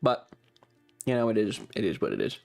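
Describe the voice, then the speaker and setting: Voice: mid-range voice, wildly swooping pitch, brisk, creaky, a little mellow. Casual, rambling streamer over music. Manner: informal, rambling, thinking aloud. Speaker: streamer and ASMR video creator hosting a livestream. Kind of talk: casual livestream chat.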